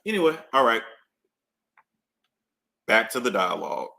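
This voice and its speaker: Animated man streaming in an echoey room. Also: mid-range voice, a little slow, creaky. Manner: animated, emphatic, repeats phrases for effect. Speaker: live streamer commenting on a court case. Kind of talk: casual live-stream commentary. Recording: roomy echo.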